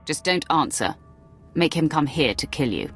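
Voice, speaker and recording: A woman's voice: sits low, lively intonation, normal speed, fairly bright tone, faint music behind. An actress playing a commanding female Sith lord in a game, speaking in a cool, clipped, sardonic way. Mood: dry, imperious. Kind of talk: video game voice acting.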